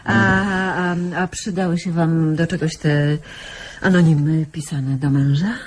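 An actress playing an effusive female doctor in a radio play, halting and repeating her words. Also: deep voice, fairly even intonation, darkish tone, husky. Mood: curious, halting. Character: breezy female doctor pouring drinks during office hours. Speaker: actor in a radio drama.

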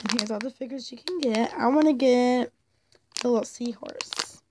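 High-voiced woman with a cold. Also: moderate pace, noisy background. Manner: chatty, upbeat, names each figure. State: has a cold, losing her voice. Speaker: toy unboxing vlogger. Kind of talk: casual unboxing chat. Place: indoors, toys on a pink blanket. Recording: loud plastic crinkling close by.

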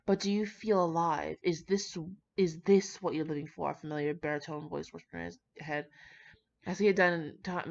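Young adult woman, low register, informal fan fiction reader who trips over words; dull home recording. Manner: informal, slangy, swearing, stumbles over words while reading. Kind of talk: reading fan fiction aloud with casual, chatty asides.

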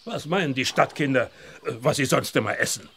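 An actor playing a blunt, grumpy old farmer in a children's audio drama, gruff, dismissive and gravelly. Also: male voice, mid-range voice, animated, brisk, fairly bright tone, a little hiss or hum.

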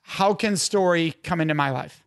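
A podcast host asks his guest follow-up questions out of curiosity. He is a man with a high voice and a gravelly, creaky edge to it. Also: normal speed. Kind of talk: interview questions.

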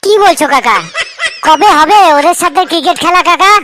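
Cheeky young nephew in a comedy cartoon, played by a woman: very high, bright, mock-earnest chatterbox. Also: brisk, a little hiss or hum. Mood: teasing. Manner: teasing, long-winded mock explanations. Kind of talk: comic cartoon dialogue.

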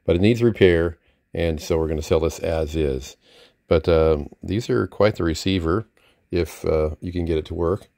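A very low-voiced man with a creaky, gravelly edge talks casually through a piece of equipment. He rambles a little and hesitates, sounding unscripted and modest about what he knows. The sound is close and dry. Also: normal speed, dark tone, no room sound. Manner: hesitant, rambling, chuckling self-deprecation. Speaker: vintage radio collector presenting a receiver. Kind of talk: casual unscripted product demonstration. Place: indoor room, at a desk with radio gear.

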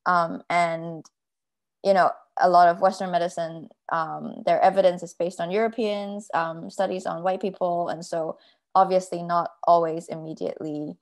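A woman from Hong Kong speaks in an online panel as a past workshop participant. Her voice is on the low side, and she talks in a measured, reflective way, pausing often with filler sounds. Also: fairly even intonation. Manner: thoughtful, many ums.

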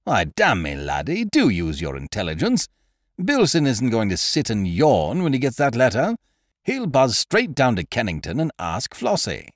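A man's voice: mid-range voice, wildly swooping pitch, normal speed, no echo, studio quality. A man voicing a breezy, scheming young Englishman who wheedles and calls his friend laddie. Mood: wheedling.

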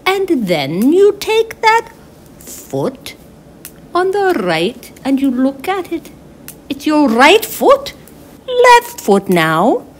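A middle-aged woman playing an eccentric, theatrical fortune-teller, giving a forecast in halting, repetitive phrases with solemn whimsy. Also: high voice, huge pitch swings, full character voice. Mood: solemn, whimsical. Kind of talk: slow theatrical spoken forecast.

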